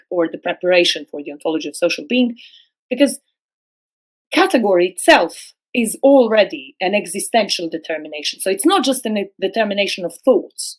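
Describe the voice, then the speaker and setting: A middle-aged woman who grew up speaking Serbo-Croatian, a guest philosopher on a podcast, speaking slowly and hesitantly with many fillers and restarts. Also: medium pitch. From former Yugoslavia. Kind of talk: academic podcast discussion.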